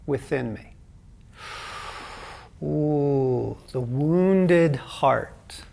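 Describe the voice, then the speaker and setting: An older man teaching a video course to camera, talking slowly and earnestly in a conversational way, often checking in with "right?". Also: fairly high pitch, gravelly, a little mellow. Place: dim studio set with lamp.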